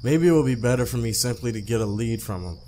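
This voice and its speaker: A man's voice: mid-range voice, expressive, quick pace, warm tone, a little hiss or hum. A man hosting a let's play, reading a visual novel aloud conversationally, with casual asides and stumbled, repeated phrases. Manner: conversational, stumbles and rereads lines.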